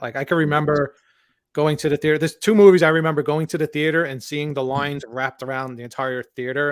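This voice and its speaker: A man in his forties talks fast and eagerly on a casual collector panel. He keeps saying "like" as he goes. The sound is close and dry. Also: medium pitch, no echo. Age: 40s. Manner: quick and eager, peppered with "like".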